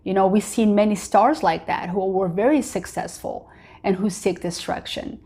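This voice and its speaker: A woman from Morocco speaks in an earnest, open way as the host of her own vlog. Her English is a second language, and she keeps filling pauses with "you know" and "uh". Her voice is pitched in a middle range. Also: mellow, breathy edge. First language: not English. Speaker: vlogger host. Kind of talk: personal motivational talk to camera.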